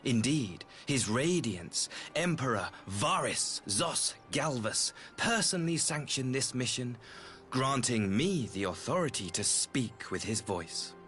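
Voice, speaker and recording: An actor playing a courteous, self-important imperial ambassador in a video game, speaking with formal, theatrical politeness. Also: male voice, medium pitch, very animated, slow, fairly bright tone, soft background music. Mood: earnest, stately. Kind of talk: scripted game dialogue.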